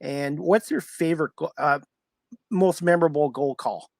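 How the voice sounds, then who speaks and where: Higher-pitched man hosting a casual talk show. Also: normal speed, creaky, no echo, clean studio sound. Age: around 50. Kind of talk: casual interview chat.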